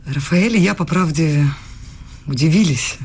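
A young woman student in a radio play, earnest and a little bossy. Her voice is husky and breathy, her delivery brisk and insistent. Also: deep voice, a little mellow, heavily acted. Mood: polite, guarded. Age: young adult.

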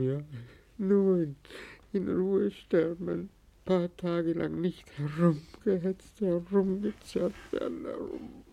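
A hunted old man in a vintage radio drama, bitter and afraid. His voice is very creaky and dark in tone, pitched a little high. He pleads haltingly, circling back over the same words. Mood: exhausted pleading. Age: older. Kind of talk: 1950s German radio play acting. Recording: 1950s radio play recording.

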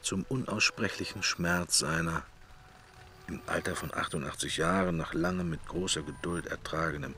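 A man's voice: low voice, moderate pace, sharp tone, slight background noise. An actor playing a middle-aged man's drifting inner thoughts in a radio play, in murmured fragments. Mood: absorbed, musing. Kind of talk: radio play interior monologue.

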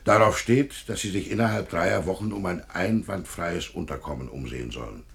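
An actor playing an officious police chief inspector in a radio play, sardonic, insinuating and dryly condescending. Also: male voice, low voice, moderate pace, creaky, a bit bright. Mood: officious, needling. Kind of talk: German radio play acting.

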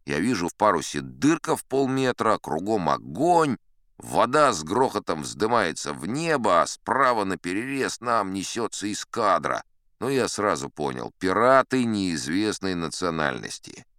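A man's voice: deep voice, big pitch swings, measured pace, a bit bright, no room sound, clean studio sound, exaggerated voice. A man playing an old sea captain who tells tall tales in a sly, folksy, conversational way, as in a dramatized audiobook. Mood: wry, confiding. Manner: conversational, sly, leisurely, addressing a young listener.

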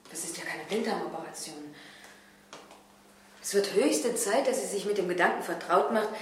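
An actress playing a stern but caring hospital doctor in a radio crime drama, speaking frankly and emphatically. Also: female voice, mid-range voice, slight background noise, lightly acted. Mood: earnest, insistent. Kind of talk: 1970s German radio crime drama acting. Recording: echoey, reverberant room.

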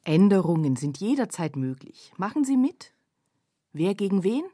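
A woman's voice: lowish voice, very animated, normal speed, warm tone, dry recording, clean studio sound. A woman acting as a sardonic observer in a literary radio play. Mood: urgent, sardonic. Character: sardonic female observer commenting on a panorama.

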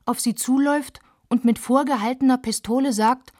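A woman narrating literary text for a radio play in a measured, clear manner. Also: sits high, fairly even intonation, darkish tone, no echo, studio quality.

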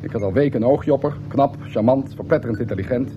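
A man's voice: medium pitch, quick pace, background noise, lightly acted. A man playing an aggrieved, easily flustered ordinary earthman in a radio drama, pointed and peevish. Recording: dull old radio play over music.

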